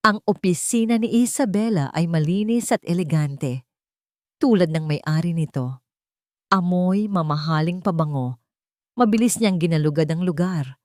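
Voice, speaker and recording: A woman's voice: on the low side, a little mellow, no echo, studio quality. A woman reading a scripted romantic suspense story as a dramatic narration.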